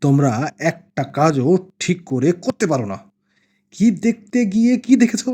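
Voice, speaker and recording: A man voicing a gruff, corrupt senior police officer in a horror cartoon. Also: on the high side, sing-song, normal speed, mellow. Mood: scornful. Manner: scolding, disbelieving.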